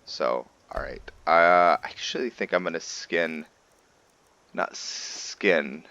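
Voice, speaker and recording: A man giving casual gameplay commentary and roleplay storytelling, haltingly thinking aloud as he goes. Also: deep voice, pushed and bright, dull audio. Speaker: gaming video host and roleplayer.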